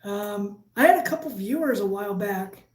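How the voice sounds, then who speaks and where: A woman with a Michigan accent hosting a casual live stream, chatting with viewers in a relaxed, halting way with lots of fillers and restarts. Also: mid-range voice. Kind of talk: casual live chat, reading viewer comments. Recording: echoey room.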